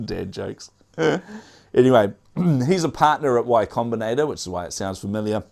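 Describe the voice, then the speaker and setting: A man's voice: medium pitch, animated, moderate pace, vocal fry, darkish tone. A man with a New Zealand accent hosting a casual tech news show, chatty, with frequent fillers and dad jokes.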